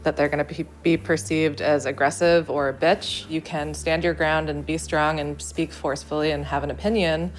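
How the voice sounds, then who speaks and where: Deep-voiced earnest woman. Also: steady tone, normal speed, few pauses. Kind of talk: documentary interview.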